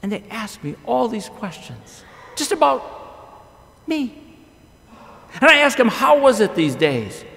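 A man's voice: very high voice, very animated, a little slow, gravelly, long pauses, a bit bright. A middle-aged man preaching a chapel sermon at a podium microphone, telling stories with wide gestures and rhetorical questions. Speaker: pastor. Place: large chapel with long reverb. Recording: echoey chapel hall.

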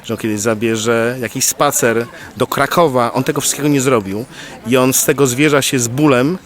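Forties male, personal reflection, unhurried and thoughtful, middle voice. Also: medium pitch, steady tone. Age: 40s. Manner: thoughtful, searching for words.

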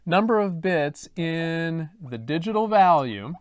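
A man in his fifties teaching to camera in a deliberate, emphatic way, checking in with rhetorical questions. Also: on the high side, expressive, clean tone, dry recording. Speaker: instructor. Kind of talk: explanatory lecture to camera. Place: indoor studio with dark backdrop and lightboard. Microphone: lapel mic.

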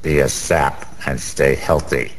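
An actor playing a boozy, boastful middle-aged Broadway gambler in a radio drama, rambling in streetwise slang with a wisecracking manner. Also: male voice, a bass voice, fairly even intonation, a little slow. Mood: boozy, bragging. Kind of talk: 1990s radio drama acting. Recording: reverberant hall, faint background noise.

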